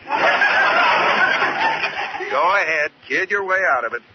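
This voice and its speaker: An actor playing an earnest, needling American husband with an emphatic, lecturing delivery in 1940s radio comedy style. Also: male voice, on the high side, animated, measured pace. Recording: muffled, hissy old radio recording.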